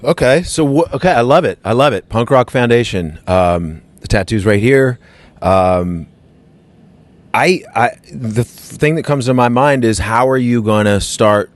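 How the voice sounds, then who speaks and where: A man's voice: medium pitch, expressive, measured pace. A man hosting his own podcast, talking in a loose, rambling, self-mocking way. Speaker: podcast host. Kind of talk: casual podcast chat.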